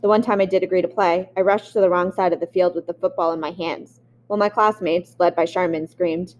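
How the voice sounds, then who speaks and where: A woman's voice: lowish voice, steady tone, fairly quick, darkish tone, muffled recording, a little hiss or hum. A woman reading a memoir aloud. She reads expressively and sometimes stops to restart a word. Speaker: audiobook reader.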